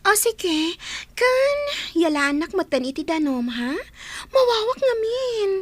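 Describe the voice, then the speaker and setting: A woman with a Filipino accent playing a grateful, sweet young working student in a radio drama, with expressive delivery. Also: very high voice, unhurried, no echo, exaggerated voice. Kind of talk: Ilocano radio drama acting.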